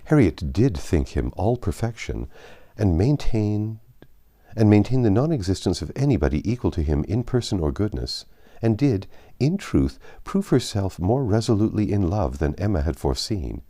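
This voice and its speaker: A man reading a classic novel aloud as an audiobook narrator, with measured, expressive phrasing and drawn-out emphasis. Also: on the low side.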